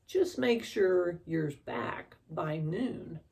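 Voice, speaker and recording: A gruff, kindly magical fellow from a children's storybook, acted out by a woman. He talks in brusque slang at an unhurried pace, with a creaky edge. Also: fairly low pitch, lively intonation, a little mellow, distant mic, full character voice. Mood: gruff encouragement. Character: Lefty, gruff but kindly male magical sneaker-granting helper.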